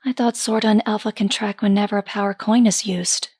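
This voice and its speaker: A woman playing a calm, supportive young confidante of a hero in an audio drama. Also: mid-range voice, a little fast, husky, dry recording, studio quality, natural voice. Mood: concerned.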